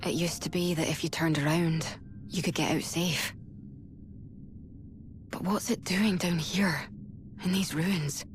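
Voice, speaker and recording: An actress playing a pragmatic, determined mercenary hunter in a video game cutscene, wry and matter-of-fact. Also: female voice, on the low side, fairly even intonation, a little slow, long pauses, faint music behind, close to an everyday voice. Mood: grim resolve.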